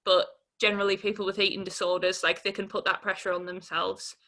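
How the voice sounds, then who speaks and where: Bright-voiced young woman, even pitch. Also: quick pace. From Newcastle upon Tyne, England. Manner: informal, hesitant, peppered with 'like'. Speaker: interviewee. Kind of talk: candid personal account in an interview.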